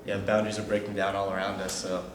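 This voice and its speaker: A teenage boy, a high school actor, chatting quickly in an interview and leaning on "like". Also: monotone, a crowd in the background. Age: teens. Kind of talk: casual interview answers. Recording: echoey room with noise.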